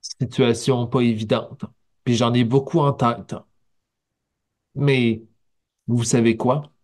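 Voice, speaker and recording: A man's voice: mid-range voice, little pitch movement, a little mellow. A man in his forties with a Québécois French accent, preaching a sermon over a headset on a video call from a small office. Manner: slow, measured preaching with pauses and rising emphasis. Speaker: pastor.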